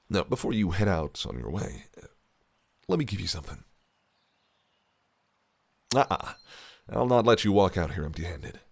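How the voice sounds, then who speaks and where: Man doing a possessive fae prince, low gravelly voice, breathy, teasing and sly. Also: sing-song, unhurried, long pauses, exaggerated voice. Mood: charming. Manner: silky, teasing, menacing. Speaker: voice actor in an audio roleplay. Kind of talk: listener-directed audio roleplay.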